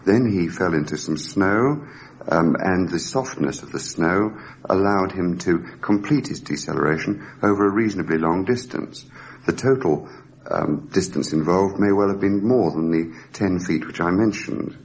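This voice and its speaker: A man giving a measured, precise technical explanation in a formal, academic way, as an expert on a radio documentary. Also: deep voice. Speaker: scientific expert interviewee. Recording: noisy archive radio recording.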